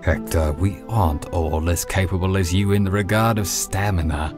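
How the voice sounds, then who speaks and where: An earnest young male healer in a visual novel, acted by a man, speaking low and mildly. His phrasing is formal and modest. Game music and rain play prominently underneath. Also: normal speed, close to an everyday voice. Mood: apologetic, earnest. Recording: loud music and rain behind. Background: game music and rainfall.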